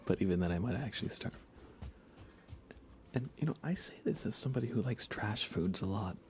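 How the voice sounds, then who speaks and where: An American man speaking in soft, breathy whispers, chatting casually and unhurriedly to viewers of an ASMR livestream. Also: lowish voice, animated, a little hiss or hum. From United States. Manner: hushed, unhurried, conversational.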